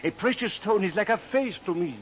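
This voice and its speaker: An actor playing a fussy, self-important French gem expert in a 1950s radio drama, with a French accent and a florid, easily flustered manner. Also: male voice, high-pitched, animated, a little fast. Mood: proud, florid. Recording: dull, noisy vintage radio recording.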